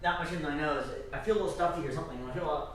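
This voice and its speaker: A man rambling alone on a livestream, halting and restarting, with a stuffy nose and a slightly scratchy throat. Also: on the high side, a bit bright, roomy sound. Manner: rambling, halting, many restarts and self-corrections. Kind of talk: rambling solo livestream chat.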